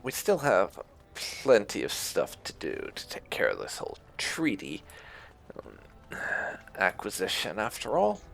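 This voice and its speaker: A man giving casual, musing roleplay commentary over a video game, with a gravelly voice. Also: on the low side, huge pitch swings, a little slow, a bit bright. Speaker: gaming video host.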